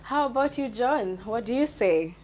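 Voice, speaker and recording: A woman's voice: on the high side, expressive, normal speed, crowd noise, poor audio. A woman with a Kenyan accent co-hosting a forum in an upbeat, conversational presenting style. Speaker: co-host of a forum.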